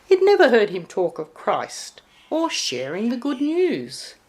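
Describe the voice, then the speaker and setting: A woman reading a rhyming poem aloud in an expressive storybook narration style. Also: fairly high pitch, unhurried. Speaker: poem reader.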